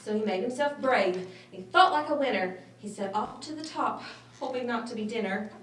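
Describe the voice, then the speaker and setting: A woman reading a children's story aloud with expressive, dramatic phrasing. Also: fairly high pitch, normal speed. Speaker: storybook reader. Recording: roomy, distant mic, faint noise.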